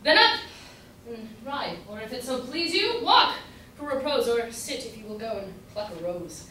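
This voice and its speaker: A woman as a shrill, florid gentleman suitor, echoey. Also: big pitch swings, normal speed. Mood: courteous, dithering. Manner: florid, declamatory. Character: Humphrey, hapless, pompous rhyming gentleman suitor, a man. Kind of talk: amateur staged reading of Jacobean verse comedy. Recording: echoey room from far off, faint noise.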